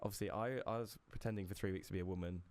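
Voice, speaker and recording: Laid-back British young man, low and dry. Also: fairly even intonation, rapid-fire, a little mellow, dry recording. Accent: British English. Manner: laughs often, easygoing and chatty. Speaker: podcast co-host. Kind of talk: casual chat.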